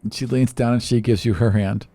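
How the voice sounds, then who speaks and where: A man's voice: mid-range voice, fairly even intonation, fairly quick, dark tone, dry recording, soft background music. A man narrating a tabletop game as game master, conversationally and piece by piece as he improvises.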